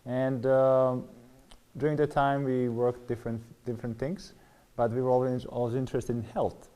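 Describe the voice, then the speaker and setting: Male interview guest, middle-aged, Serbian accent, dark relaxed mid-range voice, hesitant storytelling. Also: medium pitch, normal speed. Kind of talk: personal testimony in interview.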